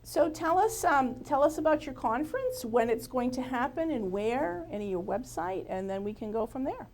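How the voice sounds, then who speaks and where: A woman's voice: high-pitched, animated, normal speed, a little mellow, slight background noise. Woman hosting an interview show.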